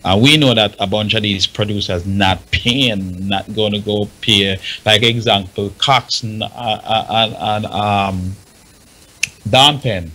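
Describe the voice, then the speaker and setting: Halting Jamaican man, low forceful voice. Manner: halting, repetitive, emphatic. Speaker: talk show panelist. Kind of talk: informal explanatory radio discussion.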